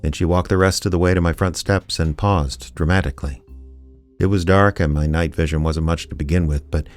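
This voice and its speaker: Guy playing a tired wry detective in a radio play, deep voice, dry sarcastic delivery. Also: a little fast, faint music behind, natural voice. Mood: wry narration. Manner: deadpan, sardonic. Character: wry, weary male small-town private investigator. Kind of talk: first-person noir narration and dry radio drama dialogue.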